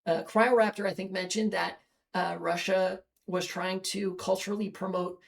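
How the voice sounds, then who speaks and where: A woman hosting a casual livestream, her voice slightly raspy and strained from long talking, speaking in halting bursts with many fillers and restarts. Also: lowish voice, level tone. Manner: halting, many fillers and restarts, talks fast then slows. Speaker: livestream host. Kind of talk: casual livestream chat, reading chat and rambling on.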